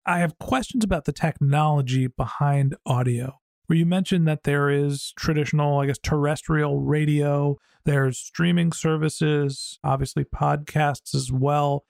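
Chatty man with a creaky edge. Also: a little high, fairly even intonation, measured pace, darkish tone, no room sound, clean studio sound. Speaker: podcast host. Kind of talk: conversational interview.

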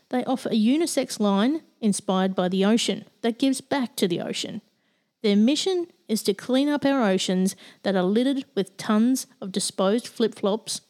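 An Australian woman hosting a podcast, reading an earnest, measured introduction and asking her guest questions. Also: medium pitch, a little mellow. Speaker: podcast host.

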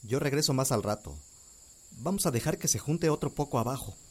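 An actor playing a young farmer and husband who speaks calmly and reassuringly. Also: male voice, mid-range voice, fairly quick, breathy edge. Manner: calm, reassuring. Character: young male farmer Gabriel, hopeful husband. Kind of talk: radio drama acting.

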